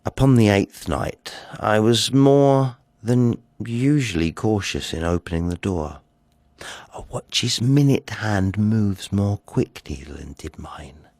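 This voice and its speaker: A man performing a nervous, insane murderer confessing in a dramatic Gothic story reading, theatrical and shifting between hushed and excited delivery. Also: lowish voice, slow pace, no room sound. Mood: secretive, tense. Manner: theatrical, drops into breathy whispers, swings to excited outbursts. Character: nervous madman murderer confessing, insisting on his sanity.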